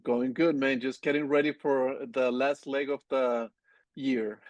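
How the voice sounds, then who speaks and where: Colombian-accented man, relaxed, dry sound. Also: mid-range voice, a little slow, dry recording. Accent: Colombian Spanish. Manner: relaxed, rambling, frequent restarts. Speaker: agency founder guest. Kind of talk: casual interview conversation.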